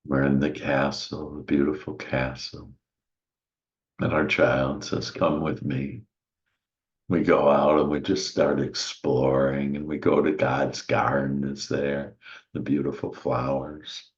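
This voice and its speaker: A man with an extremely deep voice leads a soothing spiritual visualization. He speaks very slowly and gently, leaving long pauses and letting words settle into a gravelly fry. The recording is a little muffled and lo-fi. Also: flat delivery. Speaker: guided meditation leader. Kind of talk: soothing guided meditation, slow spiritual visualization.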